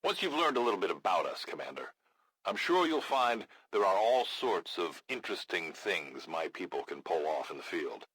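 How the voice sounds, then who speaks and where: An actor playing a gruff, blunt, battle-hardened resistance leader in a video game, with a gravelly voice and a measured, grave manner. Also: male voice, low voice, fairly bright tone, no room sound, full character voice, low-quality recording. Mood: measured approval. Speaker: military faction commander in a video game. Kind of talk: scripted video game voice acting.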